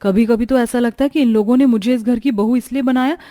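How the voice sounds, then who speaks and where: A woman plays a young Indian daughter-in-law in a cartoon story. Her voice is fairly high, earnest and dutiful, with the complaining edge of someone overworked. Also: a little fast, warm tone, lightly acted. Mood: exasperated. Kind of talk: Hindi cartoon story voice acting.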